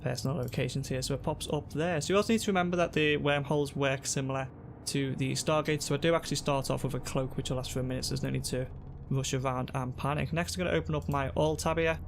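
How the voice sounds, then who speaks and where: A young man with a sore throat and a congested voice, calmly explaining a game to viewers on a headset mic. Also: mid-range voice, quick pace, gravelly, a little hiss or hum. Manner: relaxed, explanatory, talks to viewers. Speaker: gaming youtuber. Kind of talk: casual gameplay tutorial. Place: home room at a desk.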